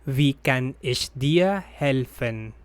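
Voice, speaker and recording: Very slow, dry phrase-drill voice. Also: mid-range voice, lively intonation, no room sound. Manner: slow, deliberate repetition of each phrase. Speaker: language teacher.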